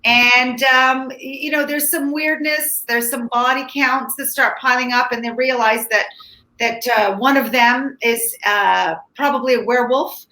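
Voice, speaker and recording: A woman with a fairly high, bright voice talks through a movie review in a casual, conversational style. Her sound is clearly roomy, with echo around the words. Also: fairly even intonation, unhurried. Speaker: film critic co-hosting a movie review. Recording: echoey, reverberant room.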